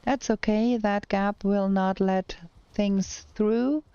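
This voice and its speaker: Slow-talking German woman streamer. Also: medium pitch, steady tone, warm tone, clear, resonant tone, no room sound, highs cut off. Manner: slow, thinking aloud while playing. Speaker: game streamer. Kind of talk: casual gameplay commentary with chat replies.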